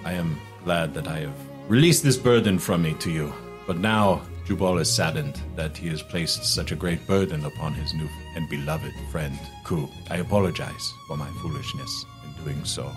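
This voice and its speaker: A man voicing a big, wealthy, scheming merchant in a tabletop game, florid and flattering, repeating his phrases. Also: low voice, normal speed, over music. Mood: burdened, apologetic. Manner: florid, flattering, repeats phrases, speaks of himself in third person. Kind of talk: tabletop roleplay, in character.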